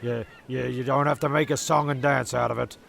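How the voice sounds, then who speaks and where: A man playing a flustered, touchy narrator among castaways in a comedy radio play, halting and repeating himself. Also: medium pitch, steady tone, vocal fry, a bit bright, a little hiss or hum. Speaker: narrator and character.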